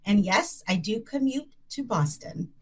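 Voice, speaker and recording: A woman's voice: mid-range voice, animated, measured pace. A woman from Connecticut, an onboarding manager, talking in a bubbly, eager way.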